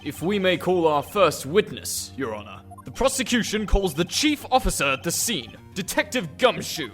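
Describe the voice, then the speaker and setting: A man's voice: high-pitched, big pitch swings, normal speed, fairly bright tone, faint music behind. A man voicing a formal, self-assured, pompous prosecutor in a courtroom game fandub. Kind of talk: game fandub character acting.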